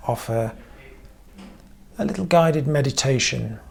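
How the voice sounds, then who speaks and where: A man guiding a meditation as a therapist, giving gentle instruction in short, measured phrases with frequent pauses. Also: medium pitch, lively intonation, creaky. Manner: measured, pausing often between short phrases. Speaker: therapist leading guided meditation.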